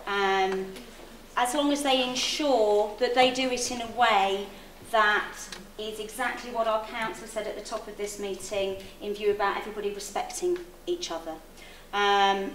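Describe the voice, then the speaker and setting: A woman's voice: medium pitch, fairly bright tone. A woman on a meeting panel answering a complaint in a conciliatory, hesitant way, with frequent ums. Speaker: health service official on a meeting panel. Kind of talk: measured panel response. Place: public meeting hall. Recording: echoey hall, distant mic, faint noise.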